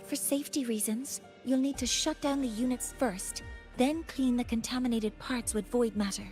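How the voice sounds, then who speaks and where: Brief: a woman as a careful female professor, slightly high voice, faint music under. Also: fairly even intonation, a little mellow, close to an everyday voice. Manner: measured, formal.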